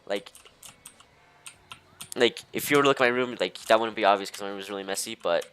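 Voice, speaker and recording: Rambling young man with a lowish voice. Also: a bit bright, clear, resonant tone. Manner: hesitant, halting, easily distracted, heavy use of "like". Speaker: storyteller. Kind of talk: casual rambling storytime.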